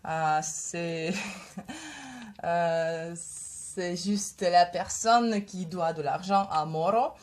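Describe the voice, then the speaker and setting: A woman's voice: on the low side, very slow, a little mellow. A woman in her twenties, a native Russian speaker, talks hesitantly with many fillers while she has a head cold. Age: 20s. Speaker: vlogger. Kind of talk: casual video talk.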